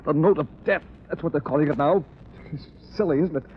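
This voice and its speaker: A man's voice: fairly high pitch, a little fast, natural voice. An actor playing a nerve-wracked man cracking under strain, agitated and melodramatic in a 1930s radio drama. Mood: frantic, desperate. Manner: agitated, melodramatic, rising toward hysteria. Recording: dull, hissy 1930s radio recording.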